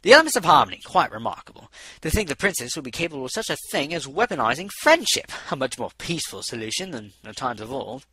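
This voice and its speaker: Man doing an aloof, animated scholarly pony doctor. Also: medium pitch, fast, husky, heavily acted, poor audio. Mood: admiring.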